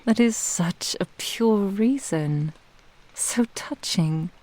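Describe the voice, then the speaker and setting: A woman playing a possessive, doting fox-spirit witch in an intimate ASMR roleplay, teasing in formal old-fashioned phrasing and often dropping into breathy whispers. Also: mid-range voice, expressive, slow, dark tone, rough recording. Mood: teasing, delighted. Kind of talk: ASMR roleplay, intimate scripted monologue to the listener.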